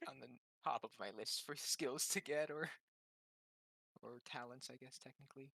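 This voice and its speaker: Guy playing a tabletop game, just chatting, dry close mic, average pitch. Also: normal speed, creaky, pushed and bright, dry recording. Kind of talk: casual chat.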